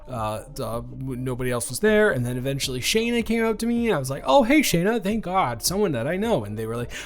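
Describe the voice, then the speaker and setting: A man's voice: fairly high pitch, very animated, fairly quick. Guy acting as shy awkward college boy, anxious and stammery, rambles on, sweet dormmate type in an audio roleplay. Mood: nervous, rambling. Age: young adult. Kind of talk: intimate one-sided audio roleplay acting.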